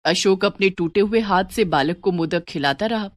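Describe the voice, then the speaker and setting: Clear, steady woman narrating a story. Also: medium pitch, normal speed, no echo, studio quality.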